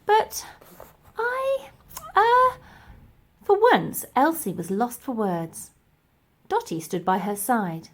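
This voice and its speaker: A woman narrating a scripted children's audiobook in an expressive, deliberate storytelling manner. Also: medium pitch. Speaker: audiobook reader.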